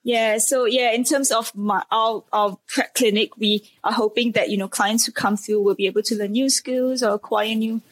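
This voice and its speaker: A woman's voice: a little high, fairly even intonation, normal speed, few pauses. A woman in her thirties from Singapore, a speech pathologist being interviewed, answering hesitantly with many fillers and restarts in a modest manner. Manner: modest, laughs shyly. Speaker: speech pathologist and clinic owner.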